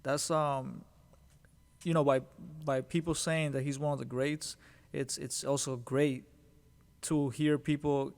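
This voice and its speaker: Gravelly male interviewer, halting questions. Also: fairly high pitch, little pitch movement. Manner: halting, repeats words often, uses slang like dope.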